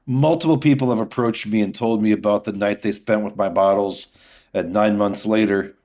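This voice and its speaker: A man's voice: fairly low pitch, fairly even intonation, normal speed, darkish tone. A man talking casually as a guest in a podcast interview.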